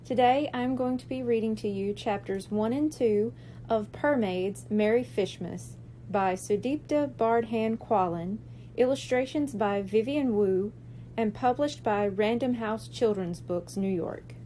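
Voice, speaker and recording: A woman reading a children's book aloud as a library storyteller, expressive and clearly phrased. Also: a little high, measured pace, slight background noise, poor audio.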